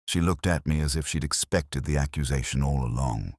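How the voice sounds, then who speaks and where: A man voicing a reflective police inspector who narrates his case in the first person, measured and literary. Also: extremely low pitch, dry recording, clean studio sound. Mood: brooding. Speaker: detective narrator. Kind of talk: scripted first-person radio-drama narration.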